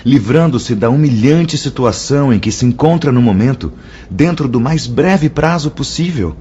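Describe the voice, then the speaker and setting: An actor playing a solemn, stern spirit instructor in a Brazilian radio drama, speaking in a formal, declamatory way. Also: male voice, mid-range voice, animated, moderate pace, dark tone, a little hiss or hum, close to an everyday voice. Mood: reassuring, earnest. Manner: declamatory, formal, rhetorical questions. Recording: slightly dull audio with music underneath. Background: background music.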